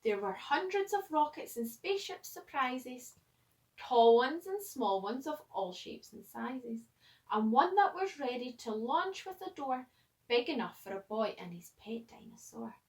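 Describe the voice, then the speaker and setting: A woman reading a children's picture book aloud like a teacher, expressively, with dramatic pauses and emphasis. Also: high voice, sing-song, distant mic. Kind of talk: animated children's storybook read-aloud.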